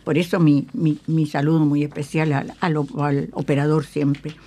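A lowish-pitched older woman with a Uruguayan Spanish accent looks back on her working life in a radio interview. She tells it emphatically and in halting pieces, repeating phrases for weight and tagging them with little questions. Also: little pitch movement, warm tone. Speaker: guest, veteran radio actress. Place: radio studio.

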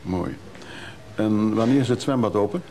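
Low, gravelly, fussy man, played by an actor. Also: moderate pace, mellow, close to an everyday voice. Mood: fussy, polite. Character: fussy, nervous, rambling male traveller. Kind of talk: radio drama acting. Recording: noisy radio play recording.

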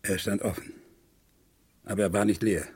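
Gravelly, broken man confessing. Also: fairly low pitch, steady tone, moderate pace, darkish tone. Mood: resigned confession. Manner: resigned, repeats himself bitterly. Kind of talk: 1970s German radio crime play acting.

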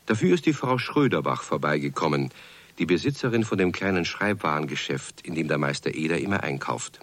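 An actor narrating a children's radio play as a calm storyteller. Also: male voice, a bass voice, fairly quick, no echo, heavily acted.

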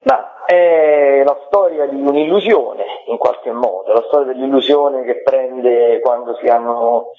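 A man's voice: mid-range voice, a little slow, hardly any pauses. A man answering as an interviewed author in a conversational way. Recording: tinny narrow phone-like line.

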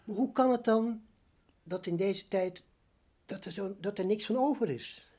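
A man interviewing someone informally, haltingly repeating words as he forms his questions. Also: high voice, dark tone.

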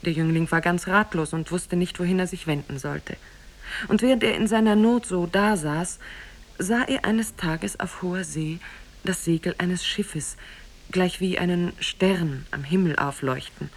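An actress as the narrator of an Arabian fairy tale, grave and measured in 1950s radio storytelling style. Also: female voice, fairly low pitch. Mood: measured, solemn. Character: solemn female teller of an Arabian Nights tale. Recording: 1950s radio recording from cassette.

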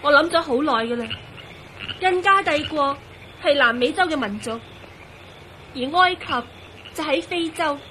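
A woman's voice: high-pitched, expressive, a little slow, long pauses, bright, forceful tone, slight background noise, full character voice. An actress playing an anxious, devoted young woman in a Cantonese radio drama. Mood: earnest, insistent. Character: anxious, devoted young woman turned transparent, quick to reason.

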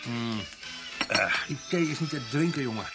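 An actor playing a grumbling, greedy police sergeant who is bossy but soft-hearted, hesitating and repeating his words in a radio play. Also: mid-range voice, lively intonation, normal speed, loud music behind the voice, close to an everyday voice. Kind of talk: radio drama acting.

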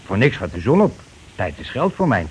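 An actor playing a scheming, money-grabbing husband and landlord in a radio play, talking in wheedling, self-justifying colloquial patter. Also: male voice, mid-range voice, big pitch swings, a little fast, darkish tone, lightly acted. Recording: noisy old radio drama recording.

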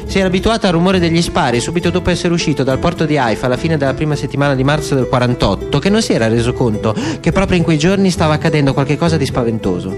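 A man giving a dramatic literary narration in a radio theatre style. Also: mid-range voice. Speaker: narrator, stage actor.

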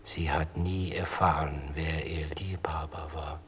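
An actor playing a furtive, humble lover in a radio play, narrating his confession in a hushed, measured, intimate way. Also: rumbling low, fairly even intonation, a little hiss or hum. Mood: hushed, wistful. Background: music.

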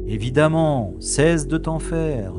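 A man playing a brisk, dryly commanding spaceship captain and special agent in a French sci-fi audio drama. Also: fairly high pitch, expressive, loud music behind the voice. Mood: brisk, businesslike.

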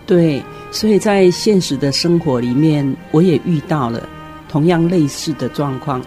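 A middle-aged woman with a Taiwanese Mandarin accent, explaining reflectively in short, deliberate phrases as a guest on a radio discussion. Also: sits low, dark tone, faint music behind. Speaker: guest commentator, educator.

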